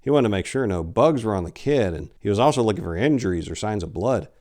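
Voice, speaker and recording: A man in his forties giving a theatrical, deliberate dramatic reading of a horror story, with emphatic swells and hushed drops. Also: on the low side, lively intonation. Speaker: author reading a short story. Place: indoor room lined with bookshelves. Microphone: lapel mic.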